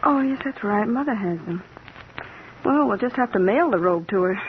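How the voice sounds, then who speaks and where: Actress as an expressive, concerned wife. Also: female voice, medium pitch, moderate pace, natural voice. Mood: bright, concerned. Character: anxious, sweet unsuspecting young wife. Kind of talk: 1950s radio drama acting. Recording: muffled, hissy vintage radio recording.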